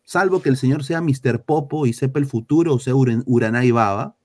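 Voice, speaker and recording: A man's voice: medium pitch, brisk, darkish tone. A man from Peru with a Peruvian Spanish accent, ranting bluntly and crudely as a football talk host. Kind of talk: heated casual football talk.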